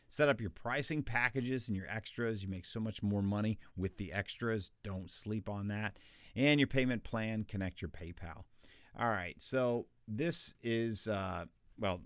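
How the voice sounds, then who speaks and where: A man with a deep bass voice, chatting through freelance voiceover tips in a casual, conversational way. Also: dry recording, studio quality. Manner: chatty, conversational, with restarts and asides. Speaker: voice actor teaching freelancers. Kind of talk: casual tutorial talk.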